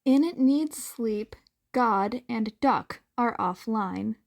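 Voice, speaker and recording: A woman's voice: a little high, extremely slow, creaky, no room sound, clean studio sound. A woman narrating fan fiction as a scripted story reading.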